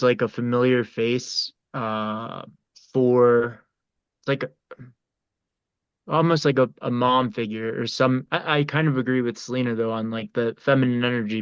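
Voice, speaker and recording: A smooth, clear voice comes through a dull, dry recording. The speaker is hesitant and tentative, feeling out an opinion in casual discussion. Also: medium pitch, no echo. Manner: hesitant, tentative, filler-heavy. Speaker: workshop participant. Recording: dull, lo-fi microphone sound.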